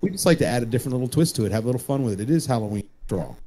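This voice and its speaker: A man's voice: medium pitch, lively intonation, racing, over a noisy crowd. A man hosting an online show with casual, joking, rambling, self-deprecating chat. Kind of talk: casual chat between broadcast segments.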